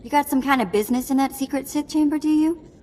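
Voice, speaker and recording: An actress playing a sassy, defiant alien slave treasure hunter in a video game, sarcastic and full of wisecracks. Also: female voice, sits high, fast talker, a little mellow, slight background noise, lightly acted. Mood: wry. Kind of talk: scripted video game drama acting.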